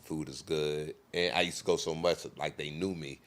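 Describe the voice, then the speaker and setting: A man co-hosting a casual livestream chat, easygoing and quick to chime in with short agreements. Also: very deep voice, fairly even intonation, fairly bright tone. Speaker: co-host.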